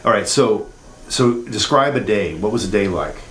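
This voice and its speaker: A man's voice: fairly low pitch, lively intonation, moderate pace, fairly bright tone. A middle-aged man, a dentist, questioning a patient in a clinic treatment room and explaining findings with tag questions and clinical terms. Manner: leading, uses tag questions and jargon. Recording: echoey clinic room, faint hiss.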